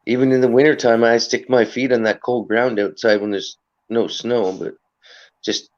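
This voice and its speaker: Raspy, earnest host of a lo-fi livestream, mid-pitched and a bit muffled, rambling and preachy. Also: male voice, steady tone, normal speed, darkish tone. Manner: earnest, rambling, preachy about energy and respect. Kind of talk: casual livestream chat.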